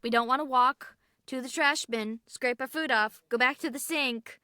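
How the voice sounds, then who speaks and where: Bright, high-pitched young woman chatting. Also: normal speed. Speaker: podcast guest. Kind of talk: casual group chat.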